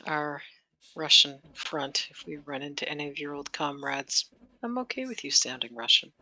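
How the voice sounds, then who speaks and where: A woman's voice: low voice, lively intonation, normal speed, bright, forceful tone, rough recording. A woman playing a tabletop game, chatting in character with a dry, laughing manner. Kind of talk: in-character roleplay chat.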